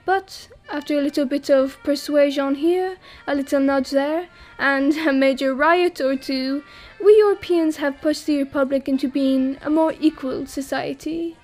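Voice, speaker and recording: A woman's voice: sits high, fairly even intonation, moderate pace, few pauses, a little mellow, faint music behind. A young woman from France telling her story in an audio drama, wry and self-mocking, laughing as she talks. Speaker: exile interviewed about a disaster. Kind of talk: acted monologue in an audio drama.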